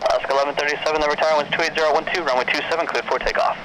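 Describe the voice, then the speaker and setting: A man's voice: fairly high pitch, fairly even intonation, very fast, fairly bright tone. A man working as an air traffic controller, giving clipped procedural instructions over a noisy aviation radio. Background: aircraft and radio noise.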